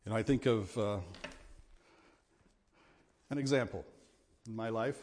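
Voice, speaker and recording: A middle-aged man with a big voice preaching a sermon, earnest and at times forceful in his exhortations. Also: fairly low pitch, a little slow, slight background noise.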